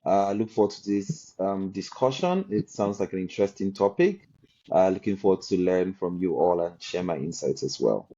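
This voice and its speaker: A man with a lowish, dark voice answers slowly and earnestly. His sound comes through a dull, lo-fi video call line. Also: expressive. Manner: measured and earnest, slow deliberate phrasing. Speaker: podcast guest, health policy researcher. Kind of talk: reflective conversational answers.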